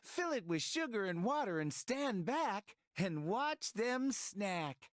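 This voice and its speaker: A woman's voice: medium pitch, very animated, slow, no room sound, studio quality. A woman voicing a cheerful cartoon child who teaches preschoolers in sing-song rhyme. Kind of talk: scripted rhyming children's game narration.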